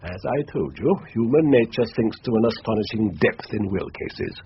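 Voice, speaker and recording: A man playing a dry, formal, deliberate English solicitor in a radio drama. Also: fairly low pitch, lively intonation. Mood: drily confidential. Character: dry, precise English solicitor, gravely confidential. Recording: dull, lo-fi hissy radio recording.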